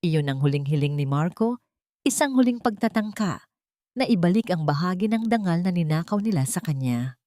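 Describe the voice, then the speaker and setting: A woman's voice: lowish voice, expressive, moderate pace, mellow, no room sound, studio quality. A woman with a Filipino accent reading a scripted dramatic story as narrator.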